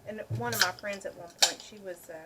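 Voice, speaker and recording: A woman's voice: mid-range voice, fairly even intonation, brisk, pushed and bright, a little hiss or hum. A middle-aged woman chatting casually with a dry, joking manner. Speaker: video host. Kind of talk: casual chat.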